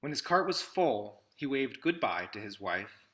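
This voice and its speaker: A man in his thirties reads a storybook aloud in measured short phrases with pauses, recorded indoors at arm's length from the mic. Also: medium pitch, sing-song, a bit bright. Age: 30s. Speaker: picture book reader. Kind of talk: read-aloud storybook narration. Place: small indoor room with classroom wall displays.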